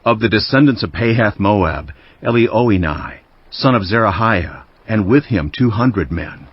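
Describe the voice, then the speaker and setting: A man narrating scripture as a dramatized first-person account, solemn and declamatory, with deliberate phrasing. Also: on the low side, animated, breathy edge, no room sound. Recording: dull lo-fi audio with music bed. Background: background music.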